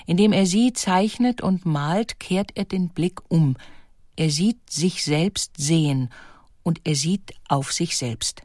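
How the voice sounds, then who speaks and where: A woman reading a documentary narration in a clear, composed way. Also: fairly low pitch, slow pace, darkish tone, no room sound, studio quality. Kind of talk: scripted narration.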